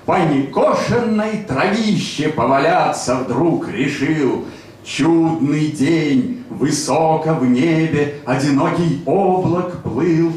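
Middle-aged male poet, slow declamatory reading, handheld mic, echoey club. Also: fairly high pitch. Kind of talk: poetry reading. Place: small rock club stage, indoors. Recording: echoey live club with faint noise.

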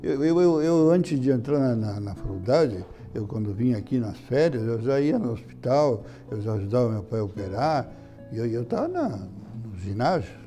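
An older man answering interview questions in a halting way, often repeating his words and chuckling. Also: mid-range voice, sing-song, mellow, soft background music. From Brazil. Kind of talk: reminiscing interview talk. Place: indoor room beside an altar.

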